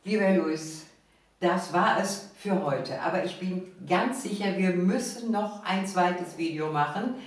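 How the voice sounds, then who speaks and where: An older woman hosts a relaxed conversation with emphatic phrasing and frequent agreement. The room is very reverberant, and her voice sits some way from the microphone. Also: fairly low pitch, measured pace. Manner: emphatic, frequently affirming the guest. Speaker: host. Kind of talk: casual chat, hosting. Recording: very echoey room, distant mic.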